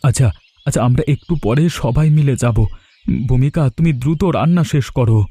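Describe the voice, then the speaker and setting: A man playing a caring, anxious village father in a moral-story cartoon, speaking gently and earnestly. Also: mid-range voice, brisk, breathy edge, dry recording, natural voice. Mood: shocked, sorrowful. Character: caring, worried poor village father and husband.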